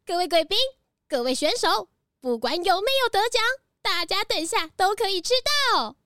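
A woman's voice: very high voice, expressive, moderate pace, fairly bright tone, dry recording, clean studio sound. A woman voicing a considerate, welcoming female antelope in a children's audio drama.

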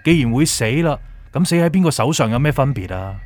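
A man's voice: mid-range voice, animated, a little mellow, soft background music, natural voice. A man acting a theatrical, swooping villainous official, Cantonese. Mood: menacing. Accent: Hong Kong Cantonese. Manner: theatrical, taunting, drawn-out emphasis, drops in English words. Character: gloating, manipulative villainous male official, mocking. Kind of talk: Cantonese audio drama acting.

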